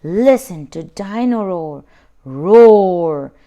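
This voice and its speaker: A woman's voice: fairly low pitch, lively intonation, warm tone. Woman putting on a roaring toy dinosaur. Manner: chanting, drawn-out roars. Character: loud roaring singing toy robot dinosaur.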